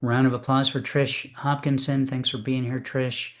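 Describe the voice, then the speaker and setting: Man, host, video call, flat even delivery, many fillers and restarts. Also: medium pitch, moderate pace. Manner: warm, hesitant, many fillers. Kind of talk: hosting an online poetry reading.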